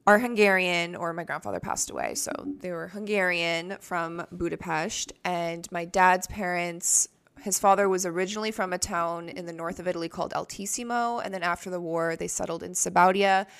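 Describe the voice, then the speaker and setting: Woman, thirties, from Canada, podcast co-host, chatty and rambling, gravelly fry. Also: fairly low pitch, fairly even intonation, normal speed, a bit bright. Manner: chatty, rambling, frequent "like" fillers. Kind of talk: casual chat.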